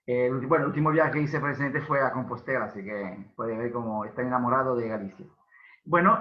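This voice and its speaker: A man hosts an online interview, full of eager praise and chatter, often stumbling and restarting his phrases. His voice carries a roomy echo, and the video-call audio sounds dull and muffled. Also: mid-range voice. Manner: effusive, chatty, with filler and restarts. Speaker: host. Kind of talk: casual interview hosting.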